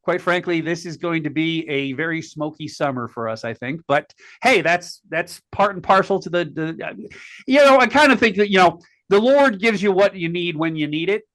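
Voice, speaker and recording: Chatty, bright-voiced host man. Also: a little high, expressive. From Connecticut, USA. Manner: folksy, chatty, halting with repeated words. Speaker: podcast host. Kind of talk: casual interview talk.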